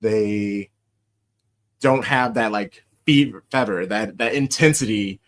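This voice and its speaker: Lively American man on a podcast. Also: fairly low pitch, takes it slowly. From United States. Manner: animated storyteller, laughs often, shouts out quoted chants. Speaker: podcast host. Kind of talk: casual podcast chat.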